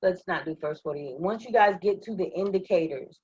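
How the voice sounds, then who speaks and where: A hoarse woman leading a live online class, walking viewers through software step by step in an upbeat way and checking in often. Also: fairly low pitch, a little fast, no echo, highs cut off. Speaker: online trading trainer. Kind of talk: live screen-share software walkthrough.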